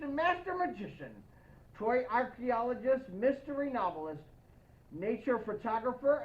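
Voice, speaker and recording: A woman reading a children's picture book aloud as Santa, playful and expressive, speaking to young listeners with asides. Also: high-pitched, dull audio. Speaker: storybook reader in the persona of Santa.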